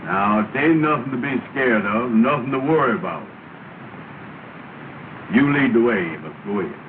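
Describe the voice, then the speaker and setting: A man's voice: mid-range voice, animated, normal speed, lightly acted. An actor playing a bossy, falsely confident man in an early 1930s comedy film, with folksy grammar and a scratchy old soundtrack. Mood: bossy reassurance. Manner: reassuring, commanding, folksy nonstandard grammar. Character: bossy, falsely confident man leading his scared pal. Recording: scratchy, noisy, narrow-band 1930 film soundtrack.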